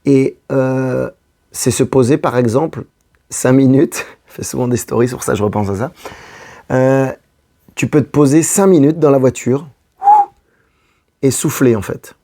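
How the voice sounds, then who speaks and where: Hoarse man giving relationship advice. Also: medium pitch, a little slow, long pauses, warm tone. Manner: addresses the listener directly, repeating key phrases. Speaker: love coach. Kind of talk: casual advice monologue to camera.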